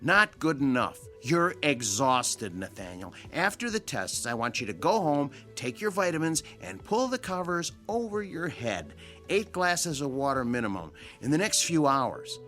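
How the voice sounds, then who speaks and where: An actor playing a stern, concerned doctor in a radio drama, speaking firmly and emphatically. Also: male voice, fairly high pitch, very animated, unhurried, fairly bright tone, soft background music. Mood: firm, admonishing. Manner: firm, emphatic, admonishing. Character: stern, concerned male doctor lecturing a patient.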